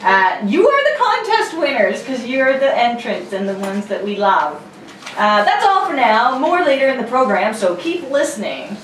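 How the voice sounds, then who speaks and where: A woman's voice: on the high side, sing-song, moderate pace. A woman hosting informally, mixing announcements with casual chat. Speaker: host, announcer. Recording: echoey room, distant mic, faint noise.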